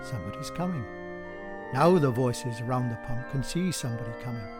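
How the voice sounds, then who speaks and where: A man narrating a poetic radio play in an expressive storytelling manner. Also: mid-range voice, fairly quick, over music. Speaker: amateur reader and narrator. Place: dry home recording.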